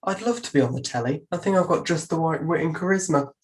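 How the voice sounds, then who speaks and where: A woman's voice: low voice, fast talker, close to an everyday voice. A woman playing an envious, self-regarding puppet in an online puppet show, wistful and a little self-important.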